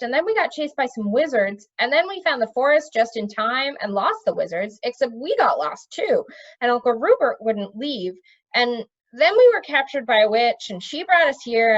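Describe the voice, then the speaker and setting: Lady doing a pushy outspoken little prince kid, high and bouncy, storybook, slightly muffled. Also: big pitch swings, moderate pace. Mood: eager. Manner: insistent, outspoken. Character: eager, blunt young boy crown prince.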